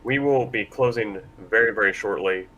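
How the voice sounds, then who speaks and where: A man's voice: moderate pace, pushed and bright, muffled recording. A man hosting a casual panel discussion, speaking in a hesitant, self-deprecating way. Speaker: panel moderator. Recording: constant rumbling background noise.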